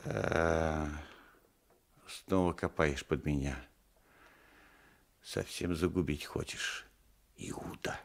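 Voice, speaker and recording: An actor playing a humiliated old peasant husband in a radio drama, bitterly angry, indignant and agitated. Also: male voice, a bass voice, fairly even intonation, drawn-out words, gravelly, long pauses, full character voice. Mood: bitter anger. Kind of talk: 1980s Soviet radio drama acting.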